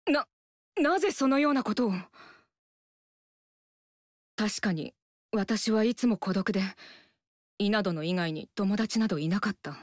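A woman's voice: mid-range voice, unhurried, long pauses, no room sound, clean studio sound, close to an everyday voice. An actress voicing a stern, earnest young woman warrior in a video game, speaking in a formal, stiff manner. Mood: flustered earnest. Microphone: studio mic, dry.

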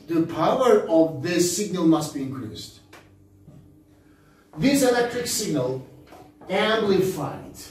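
A man's voice: on the high side, a little mellow, slight background noise. An older man with a Turkish accent, a native Turkish speaker, teaching a classroom lesson step by step in short, repeated phrases. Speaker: physics teacher. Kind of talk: classroom lecture explanation. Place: small indoor classroom at a smartboard. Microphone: camera several metres away. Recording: very echoey room, distant microphone.

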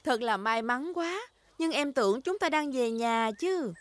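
A woman voicing a gentle, doubtful mother pig with a questioning manner in a dubbed children's cartoon. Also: sits high, expressive, unhurried.

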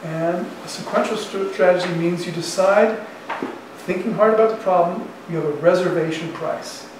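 A man's voice: sits high, moderate pace. A man in his sixties, a professor giving an informal lecture in a colloquial, conversational way, thinking aloud. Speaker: university economics professor. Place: university classroom in front of chalkboard. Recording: echoey classroom, distant mic, faint noise.

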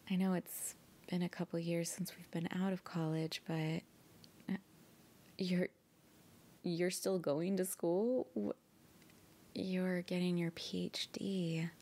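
A woman's voice: fairly low pitch, steady tone, slow, pauses often, warm tone. A young woman playing a shy, flustered woman reuniting with an old flame in an intimate, hesitant, giggly ASMR roleplay. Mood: soft, nostalgic. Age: young adult. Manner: hesitant, giggly, often breathy asides. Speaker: girlfriend roleplay audio performer.

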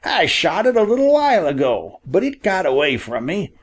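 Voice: mid-range voice, sing-song, moderate pace, breathy edge, voice pushed up. A reader voicing a persistent grown man, a hunter who coaxes and bargains with a boy, in an audiobook. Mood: coaxing. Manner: coaxing, falsely good-natured. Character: persistent adult male hunter, sly and wheedling. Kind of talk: audiobook character voice.

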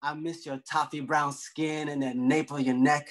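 A man's voice: on the high side, flat delivery, normal speed, close to an everyday voice. A man acting a lovestruck, slangy young man. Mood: tender, wanting. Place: indoors at home on a video call.

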